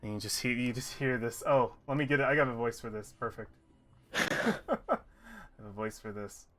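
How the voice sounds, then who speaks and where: Bright-voiced rambling game master. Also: male voice, mid-range voice, animated, normal speed, faint music behind. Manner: rambling, with many fillers and restarts. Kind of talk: narrating a tabletop game.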